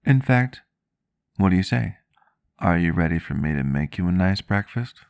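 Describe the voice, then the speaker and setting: A man playing a gentle, courteous vampire doctor in an ASMR roleplay, soft-spoken, caring and formal in his wording, close to the mic. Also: sits low, animated, moderate pace, creaky, clear, resonant tone, no room sound. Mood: fond, cheerful. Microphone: close microphone, dry sound.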